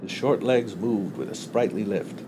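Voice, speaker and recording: A man narrating a literary short story as an audiobook reader, with expressive delivery. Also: lowish voice, unhurried, background noise.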